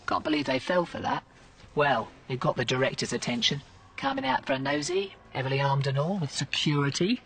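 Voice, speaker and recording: An actress playing a cocky, slangy resistance field agent in a video game. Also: female voice, a bass voice, sing-song, brisk, creaky, slightly rough, far from the mic, full character voice. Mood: wry. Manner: brash, slangy. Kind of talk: video game mission dialogue.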